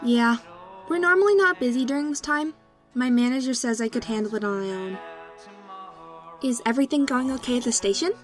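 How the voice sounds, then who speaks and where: A woman's voice: fairly high pitch, brisk, pauses often, soft background music, natural voice. A woman playing a chatty, friendly teenage barista in an audio crime drama. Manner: casual, teenage. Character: chatty, friendly seventeen-year-old high school girl barista.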